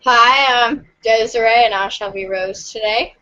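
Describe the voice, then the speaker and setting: A woman's voice: fairly high pitch, a little slow, sharp tone, highs cut off. A woman reading narration aloud in a theatrical style for a podcast. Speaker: podcast cast reader.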